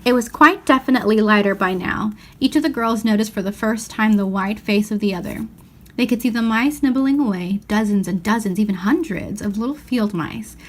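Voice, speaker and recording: A woman reading a children's novel aloud as an expressive storyteller. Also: mid-range voice, a little fast. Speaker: storybook reader.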